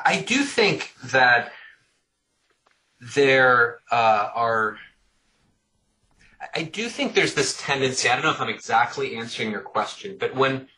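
A man's voice: mid-range voice, pushed and bright, rough recording. A middle-aged man answering interview questions thoughtfully, often repeating words as he works out his points. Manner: thoughtful, repeats words while working out ideas. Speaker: author guest.

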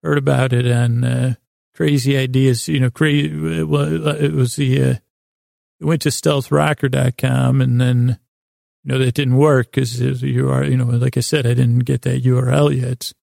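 A man with a gravelly voice, hosting a bedtime podcast in a meandering, halting monologue that often trails off mid-thought. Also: mid-range voice, flat delivery, a little mellow, no echo, clean studio sound. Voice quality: gravelly, creaky. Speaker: podcast host. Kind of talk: rambling bedtime-story monologue.

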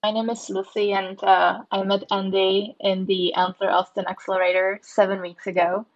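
A woman answers interview questions, pausing on frequent fillers as she thinks. Her delivery stays fairly level, with little rise and fall. The audio is dull and muffled, like a lo-fi recording. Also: medium pitch, level tone. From Czech Republic. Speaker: startup founder guest.

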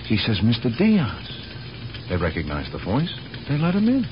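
An actor playing a dogged, methodical police lieutenant in a radio mystery, with probing, pointed phrasing. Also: male voice, medium pitch, wildly swooping pitch, normal speed. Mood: reasoning. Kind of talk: radio mystery drama acting. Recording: dull, noisy old radio broadcast.

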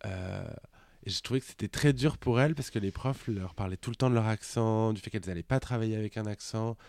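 A man in his thirties co-hosting a podcast, a drag performer who talks in a lively, chatty way. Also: lowish voice, no echo. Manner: lively and talkative, quick to laugh. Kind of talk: casual podcast chat.

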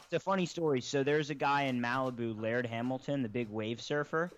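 A man's voice: medium pitch, brisk, a little hiss or hum. A man explaining things in a rambling, casual way as a podcast guest, often correcting himself mid-sentence. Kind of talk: casual conversation. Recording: slightly dull lo-fi sound.

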